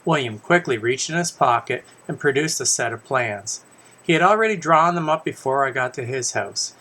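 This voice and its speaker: A man's voice: medium pitch, expressive, moderate pace, sharp tone. A man reads his own children's story aloud in the first person, conversationally, with casual asides and small self-corrections. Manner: conversational, stumbles and corrects himself.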